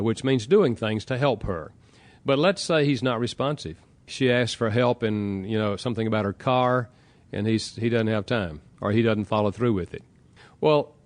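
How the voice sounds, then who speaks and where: A man with a Southern American accent, an author answering interview questions in a calm, explanatory way and walking through examples. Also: fairly low pitch. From Southern United States.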